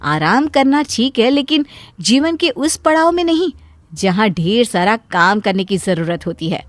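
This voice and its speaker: A woman's voice: on the high side, very animated, measured pace, dry recording. A woman narrating a scripted Hindi cartoon moral story as its storyteller.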